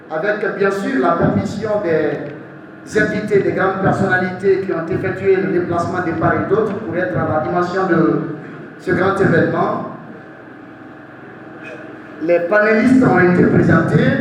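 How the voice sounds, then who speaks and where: A young man with a Guinean accent moderating a panel, giving a formal, deliberate speech to an audience and repeating phrases for emphasis. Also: high-pitched. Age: young adult. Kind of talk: public speech to a forum audience. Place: large echoey room at a live event. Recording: echoey hall, distant mic, faint noise.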